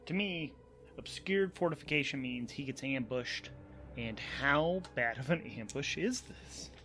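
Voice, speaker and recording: A man hosting and narrating a solo tabletop game in a casual way, thinking aloud and improvising haltingly, often repeating words as he goes. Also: medium pitch, expressive, pushed and bright, soft background music.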